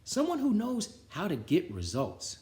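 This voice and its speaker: A man voicing excitable, mischievous schoolkids from a children's storybook. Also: high voice, measured pace, pitched above the performer's own voice, exaggerated voice. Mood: excited. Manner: exclaiming, eager. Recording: roomy, echoing sound.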